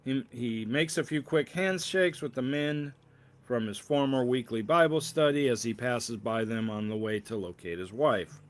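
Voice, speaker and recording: Male author-narrator, storybook reading, slightly halting, stumbles and corrects himself. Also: medium pitch. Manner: halting delivery with misreadings and corrections. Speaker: author reading own novel aloud.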